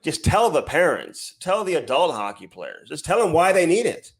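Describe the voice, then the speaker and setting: A man's voice: on the high side, sing-song, normal speed, breathy edge. Casual male podcast co-host, slangy delivery. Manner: slangy, says "dude" and "man" often. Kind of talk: casual bantering podcast chat.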